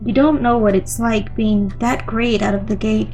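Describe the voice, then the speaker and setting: Woman voicing a stern, high-pitched cartoon pony librarian. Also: fairly even intonation, moderate pace, a little mellow, faint music behind, natural voice. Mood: confiding. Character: stern, gruff, secretly soft reclusive female pony librarian. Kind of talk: comic dub cartoon acting.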